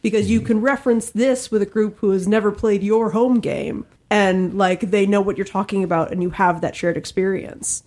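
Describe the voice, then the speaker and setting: A woman's voice: medium pitch, steady tone, normal speed, few pauses, a little mellow. A woman co-hosting a podcast, talking casually and analytically as she thinks aloud. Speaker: podcast co-host. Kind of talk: casual panel discussion.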